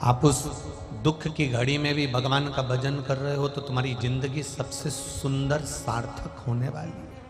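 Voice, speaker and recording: An Indian man preaching a devotional sermon to a large crowd. He rises and falls in pitch and urges the listeners to call out their answers. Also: steady tone, unhurried. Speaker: preacher telling a Shiva scripture story. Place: large venue with a crowd and speakers. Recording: live sermon in a long reverberant hall with music behind.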